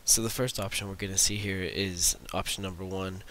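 A man presenting a software demo as a casual voice-over, explaining in an informal, unhurried way. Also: sits low, fairly even intonation. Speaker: tutorial presenter demonstrating own script. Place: voice-over for a screen recording. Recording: hissy voice-over recording.

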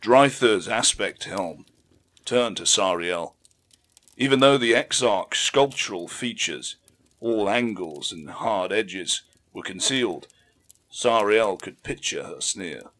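A man narrating a fiction audiobook in a solemn, deliberate, dramatic storytelling manner. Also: lowish voice, animated, a bit bright.

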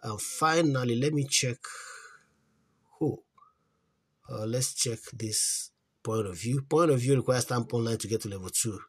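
A man's voice: medium pitch, unhurried, long pauses, husky. A man hosting a casual app tutorial, thinking aloud as he searches menus, trailing off and restarting, with earnest repeated advice to his viewers. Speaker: crypto app tutorial host. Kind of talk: casual screen-recorded walkthrough. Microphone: voice-over on a phone screen recording.

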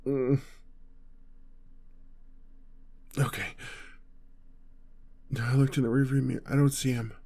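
A man's voice: mid-range voice, flat delivery, moderate pace, dark tone, close to an everyday voice. A man playing a protective, anxious boyfriend on the run, stammering and tender, in an intimate audio roleplay. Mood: panicked. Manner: stammering, urgent, tender reassurance. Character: panicked, protective boyfriend fleeing a gunman with his partner.

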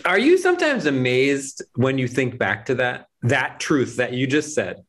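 A man's voice: mid-range voice, sing-song, measured pace, a bit bright. Man, thirties, interview host, casual questions, video call with earphones, restarts a lot.

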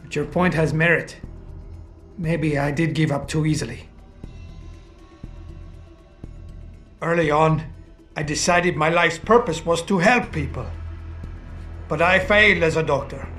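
An actor playing a guilt-ridden, betrayed middle-aged surgeon in a video game, with a formal, anguished delivery. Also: male voice, on the high side, normal speed, a bit bright, faint music behind, natural voice. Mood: resigned. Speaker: former surgeon. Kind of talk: video game cutscene acting.

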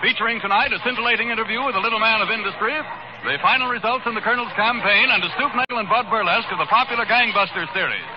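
A man's voice: high-pitched, racing. A man announcing a 1930s radio show in an exuberant barker's style. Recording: muffled 1930s radio broadcast, loud music.

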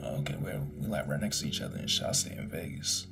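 A man in his twenties from Chicago, chatting smoothly and flirting with strangers on a video chat, full of slang. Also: very deep voice, fast, fairly bright tone, soft background music. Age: 20s. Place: small bedroom with red lights.

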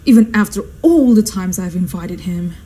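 A woman's voice: lowish voice, expressive, moderate pace, mellow, slightly rough. A woman playing a snobbish, fussy, domineering society mother in a radio drama, with a theatrical, haughty manner. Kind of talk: stage-like radio drama acting.

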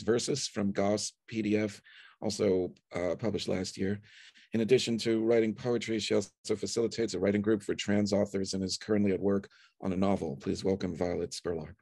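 A man with a lowish, creaky voice hosts a reading online. He gives informal introductions, and the sound is close and dry. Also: a little mellow, no room sound. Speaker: host introducing poets. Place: remote video call. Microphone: video call microphone.

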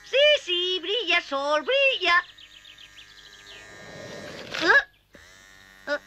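Very high, whiny little bee boy. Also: very animated, drawn-out words, pushed and bright, slight background noise, heavily acted. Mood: content.

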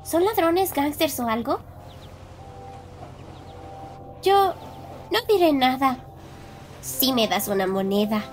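Little cartoon cat girl, very high voice, lively melody, inquisitive, Latin American Spanish, music behind. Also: unhurried. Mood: cheeky. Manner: inquisitive, playful, blunt. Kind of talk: animated cartoon fan dub.

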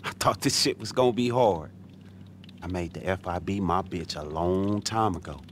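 A man's voice: sits low, normal speed, slight background noise, lightly acted. An actor playing a streetwise, easily exasperated man in a video game, talking bluntly and in slang. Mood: confident. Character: streetwise, exasperated middle-aged man running a fixer business. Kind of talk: video game cutscene acting.

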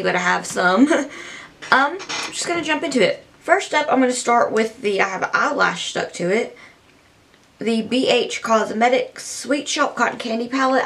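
A woman's voice: medium pitch, a bit bright. A young woman vlogging casually to camera from a small room, her voice scratchy from allergies, chatty and rambling. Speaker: beauty vlogger.

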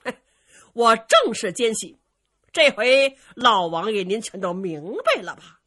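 A woman voicing a bold, defiant young male general in a traditional storytelling performance, speaking loudly and declaiming. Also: on the high side, sing-song, unhurried, heavily acted. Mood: taunting. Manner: loud, declamatory storyteller delivery.

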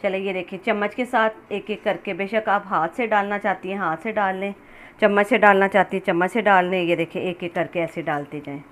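A woman's voice: medium pitch, steady tone, fairly quick. A woman giving a casual, step-by-step recipe narration as a home cook, in a conversational, instructional manner. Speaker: home cook hosting a cooking channel. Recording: clear background noise, kitchen ambience.

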